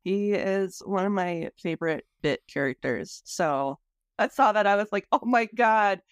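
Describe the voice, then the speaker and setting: A woman's voice: mid-range voice, expressive, measured pace, clean tone, dry recording, studio quality. A woman hosting a podcast interview in a casual, conversational style.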